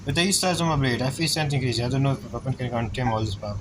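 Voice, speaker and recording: A man doing casual game commentary and reading dialogue aloud, with halting, non-fluent phrasing. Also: mid-range voice, background noise. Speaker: gamer, let's-play commentator. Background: game music.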